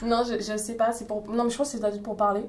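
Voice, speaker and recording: Young woman with a higher voice. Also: flat delivery, fast, darkish tone. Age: 20s. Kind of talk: casual chat.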